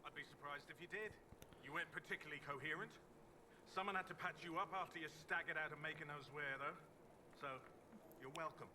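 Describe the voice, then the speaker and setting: A man's voice: fairly high pitch, a little fast, close to an everyday voice. Blunt, sardonic apothecary played by a man, noisy backing. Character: gruff, grumbling male village apothecary, dutiful and sardonic. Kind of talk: scripted fantasy game dialogue. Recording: game audio with clear background noise.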